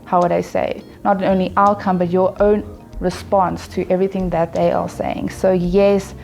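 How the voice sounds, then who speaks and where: A woman's voice: on the low side, level tone, normal speed, creaky, a little mellow, soft background music. A woman sharing a personal reflection as an interviewee, speaking earnestly and building to encouragement.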